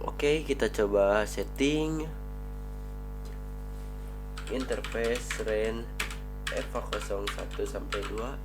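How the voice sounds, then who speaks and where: A man's voice: fairly low pitch, rough recording. A man with a cold explaining network switch configuration in a screen-recorded tutorial, talking viewers through each command as he types. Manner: addresses viewers as friends, talks while typing commands. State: has a cold. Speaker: networking instructor.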